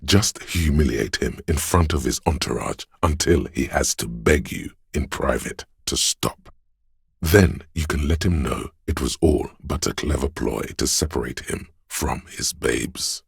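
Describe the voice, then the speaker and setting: An actor playing a smooth, sly inner voice of persuasion in a video game, narrating in a gravelly bass with a measured, insinuating delivery. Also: male voice, no echo, clean studio sound, exaggerated voice. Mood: coaxing, knowing. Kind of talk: scripted second-person game narration.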